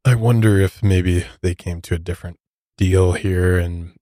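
A man's voice: deep voice, fairly even intonation, normal speed, warm tone, no room sound. A man co-hosting a podcast, giving casual commentary into a close microphone. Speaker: podcast co-host.

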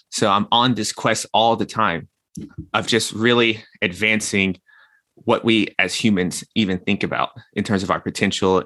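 A man's voice: on the low side, pushed and bright, no room sound. A man in his thirties, a guest in an interview, talking reflectively and explaining ideas through everyday comparisons like gardening and baking. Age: 30s. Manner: reflective, uses everyday analogies. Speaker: guest, health consultant. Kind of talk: conversational interview.

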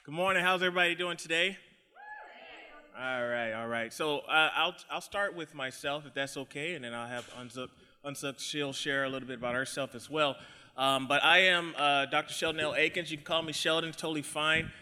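A man from Texas speaks to a live crowd in a bright, ringing voice, friendly and quick to joke. His tone is smooth and clear. The sound carries a long echo from the hall. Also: a little high. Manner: friendly, joking, conversational with restarts. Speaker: podcast host and equity trainer, guest speaker. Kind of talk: live talk to an audience. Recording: echoey hall.

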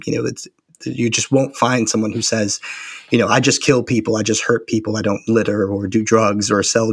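American male interview guest, analytical and precise, crackly low-end fry, very dry sound. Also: dry recording. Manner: argumentative, analytical, precise with figures. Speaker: interview guest, policy researcher. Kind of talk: explanatory interview talk.

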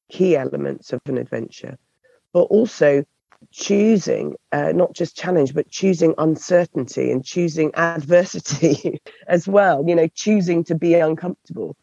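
A woman with a croaky, hoarse voice, answering interview questions thoughtfully and often repeating words as she searches for phrasing. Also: sits low, mellow, no room sound, dull audio. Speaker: podcast guest.

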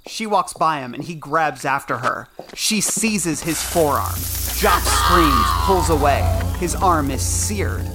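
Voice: mid-range voice, animated, takes it slowly, hardly any pauses. Narrator reading a horror teleplay over prominent music. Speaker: narrator reading stage directions.

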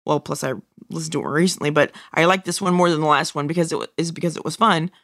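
A woman's voice: low-pitched, fairly even intonation, fast, a bit bright, dry recording, clean studio sound. A woman co-hosting a casual review podcast in a blunt, informal way. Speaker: podcast co-host.

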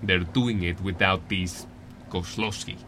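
A gruff, terse Spanish-speaking plotter in an audio drama. The voice is low and clipped. A faint hum sits behind it. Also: male voice, fairly even intonation, a little slow, a bit bright. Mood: terse, grave.

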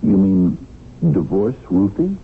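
Stammering, deep-voiced small-time lawyer. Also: male voice, very animated, full character voice. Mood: flustered, tempted. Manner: stammering, halting, formal. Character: hesitant, flustered small-time lawyer, married man. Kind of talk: 1970s radio drama acting. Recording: tinny, noisy old radio broadcast.

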